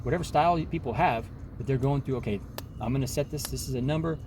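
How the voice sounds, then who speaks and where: A man's voice: medium pitch, quick pace, background noise. A man in his forties talking in a rambling, emphatic self-help monologue, full of restarts and repeated words. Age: 40s.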